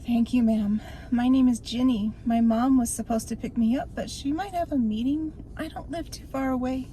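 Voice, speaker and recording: A shivering young girl from a ghost story, quiet and a little eerie, played by a woman. Her voice is high and slips into a breathy whisper. A faint noise hiss runs underneath. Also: moderate pace, natural voice, rough recording. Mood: timid. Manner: whispery, hushed.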